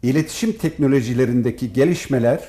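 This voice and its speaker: A man giving formal, measured interview answers as an academic guest, as if from prepared notes. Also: medium pitch, mellow. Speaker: professor and department head, interview guest.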